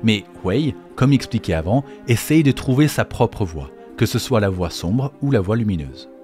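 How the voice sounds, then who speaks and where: A man's voice: on the low side, lively intonation, moderate pace, mellow. A man narrating a scripted explainer as the host of a video on game lore. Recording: faint music behind the voice. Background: faint background music.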